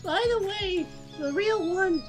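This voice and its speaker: A performer voicing a chatty, upbeat young man in a visual novel, with a cartoonish, drawn-out delivery. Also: very high voice, poor audio. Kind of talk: amateur visual novel character dubbing. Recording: music behind the voice.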